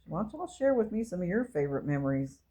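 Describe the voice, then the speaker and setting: A woman, who reminisces casually and gently, meandering along as she talks to her viewers. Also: sing-song. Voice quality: low voice. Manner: slow, meandering and gentle, with fillers. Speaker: channel host.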